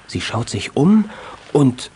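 A male narrator telling a children's radio play in a measured, suspenseful scripted manner. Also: mid-range voice, sing-song, dark tone, a little hiss or hum.

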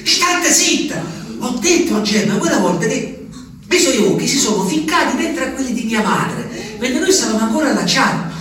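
An actor playing a rustic, agitated peasant in a halting, repetitive stage monologue. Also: male voice, sits high. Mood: frantic, agitated. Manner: halting, repetitive, volatile between murmurs and shouts. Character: rustic, agitated Abruzzese peasant man recounting his journey. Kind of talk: theatrical dramatic monologue. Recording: very echoey distant stage recording, faint noise.

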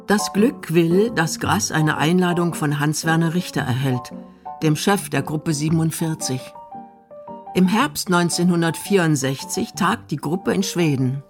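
Low-voiced woman narrating a radio documentary. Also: unhurried, music in the background.

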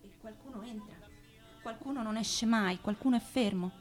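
A woman's voice: a little high, moderate pace, faint music behind. A woman performing a scripted theatrical recitation. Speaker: performer in a spoken theatre piece.

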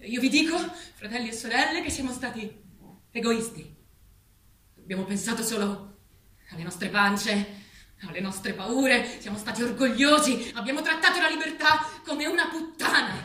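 A woman's voice: a little high, normal speed, sharp tone. An actress playing a female farm animal who leads a revolution, declaiming solemnly like a manipulative preacher in a stage play. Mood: solemn, preaching, then fervent, exalted. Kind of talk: theatrical stage monologue, political oratory. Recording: echoey stage, distant mic, faint noise.

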